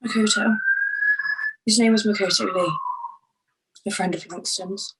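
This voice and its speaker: A woman acting a shaken, grieving young crime witness in a screenplay table read, with halting delivery. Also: medium pitch, fairly even intonation, very noisy, close to an everyday voice.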